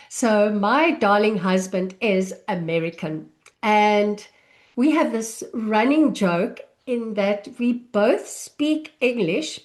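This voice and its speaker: South African woman, 50s, parent coach. Slow, friendly, conversational delivery over a video call. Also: mid-range voice. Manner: warm, unhurried, chatty with small laughs. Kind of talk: live online masterclass.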